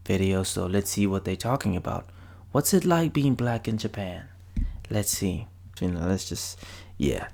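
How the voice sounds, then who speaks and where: Male reaction vlogger, low and gravelly, chatty and slangy, soft music bed. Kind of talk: casual reaction commentary.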